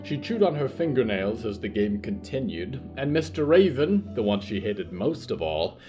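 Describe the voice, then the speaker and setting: A man's voice: mid-range voice, very animated, dark tone, faint music behind. Theatrical male storyteller with swooping intonation. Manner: deliberate, literary, theatrical. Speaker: narrator of a fiction podcast. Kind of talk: scripted dramatic story narration.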